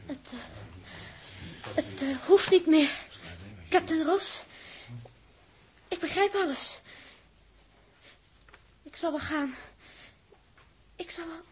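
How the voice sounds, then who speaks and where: A woman's voice: extremely high pitch, takes it slowly, pauses often. A woman playing a frightened, resigned, childlike young girl in a 1960s Dutch radio drama, timid and faltering, with a Netherlands accent.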